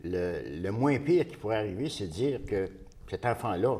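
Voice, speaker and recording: Interviewer: man, Québécois accent, fairly low voice, lively intonation, halting conversational delivery. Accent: Québécois French.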